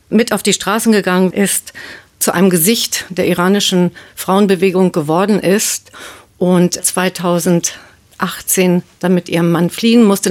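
A woman giving measured, informative answers as a studio interview guest. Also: lowish voice, darkish tone. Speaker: interview guest, media event program director. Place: radio studio.

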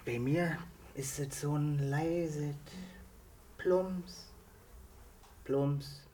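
A man's voice: on the high side, very slow, warm tone, rough recording. An actor playing an inventive, brooding village man in a radio play, folksy and explanatory, with a Berlin-Brandenburg German accent. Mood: wry lament. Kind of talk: naturalistic radio play dialogue.

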